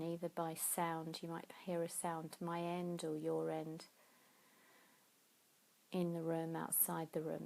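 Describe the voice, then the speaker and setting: Yoga lady doing a sleep meditation, soft mellow tone w some creaky fry, takes her time. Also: on the low side, poor audio. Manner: soothing, unhurried, pausing between phrases. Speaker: yoga nidra meditation teacher.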